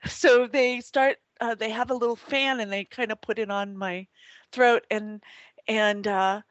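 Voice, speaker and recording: A woman guest telling a personal spiritual experience in a rambling way, with many restarts and soft laughs. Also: on the high side, fairly even intonation, measured pace, no room sound, muffled recording.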